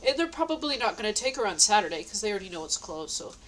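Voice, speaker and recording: A woman's voice: medium pitch, big pitch swings, rapid-fire, a bit bright, slight background noise, poor audio. A woman with a stuffy nose, talking at home in a rambling, meandering way, full of fillers and restarts. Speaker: vlogger. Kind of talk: rambling personal diary talk. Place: at home indoors.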